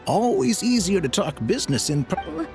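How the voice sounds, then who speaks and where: A man's voice: high-pitched, big pitch swings, moderate pace, over music. A man voicing an eager, pushy merchant in a video game. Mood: eager, greedy.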